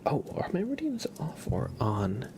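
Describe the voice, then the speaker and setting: A man hosting an ASMR livestream, chatting gently and casually in a soft, breathy voice with frequent pauses. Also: fairly high pitch, wildly swooping pitch, background noise. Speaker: livestream host.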